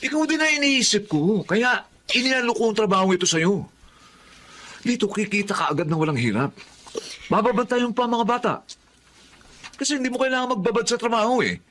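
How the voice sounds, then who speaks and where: A young husband and father with a high voice. He is emotional and troubled, in Filipino radio drama style. Faint noise sits behind the voice. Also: expressive, fairly quick, long pauses, fairly bright tone. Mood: defiant, whining. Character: young husband and father, drug user, defiant then remorseful.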